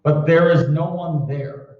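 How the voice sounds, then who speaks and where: A man's voice: fairly high pitch, fairly even intonation, slow pace. Man acting a formal deferential manservant, hesitant, old-time radio play, muffled far-off recording, long echo. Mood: polite bewilderment. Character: deferential, puzzled, very proper male household servant.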